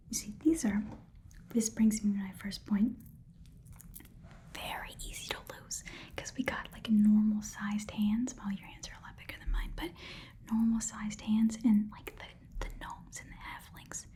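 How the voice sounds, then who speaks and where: A sly little goblin pawnbroker, played by a woman, whispers in a soft, mellow voice. The talk rambles in casual, slangy mutters, blunt and unpolished, in the style of an ASMR fantasy shop roleplay. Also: mid-range voice, close to an everyday voice. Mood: curious, distracted. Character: small, sly, blunt goblin pawnshop keeper. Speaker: ASMR performer playing a shopkeeper.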